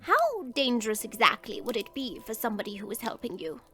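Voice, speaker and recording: A woman playing a kindly, ailing old village witch in a fantasy audio drama, speaking gently and formally. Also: on the high side, sing-song, a little fast, faint music behind. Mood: shrewd. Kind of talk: fantasy audio roleplay acting.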